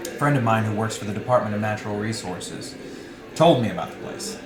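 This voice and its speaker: A man's voice: lowish voice, a little fast, close to an everyday voice. A man reading the part of a flippant, wry dinner companion in a novel, in a dry conversational manner. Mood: matter-of-fact. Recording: roomy reading with music behind.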